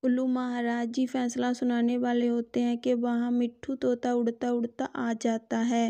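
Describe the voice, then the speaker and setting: Female kids' story narrator: high, unhurried, level intonation. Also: completely flat delivery, dark tone. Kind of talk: scripted children's story narration.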